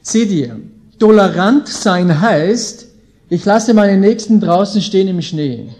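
An Austrian man in his late thirties speaks as a visiting preacher to a live audience. His voice sits high for a man yet has a soft, mellow tone. He keeps it informal and folksy, leaning hard on his key phrases. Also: lively intonation, takes it slowly. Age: late 30s. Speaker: visiting preacher, Bible school leader. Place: indoor gathering with an audience.

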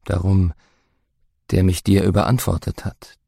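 A man doing a calm, grave prisoner on trial, speaking slowly and solemnly. The voice sits very low with a gravelly, husky edge and a dark, mellow tone, in a dry, close recording. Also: no echo, full character voice. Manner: slow, solemn, deep measured delivery.

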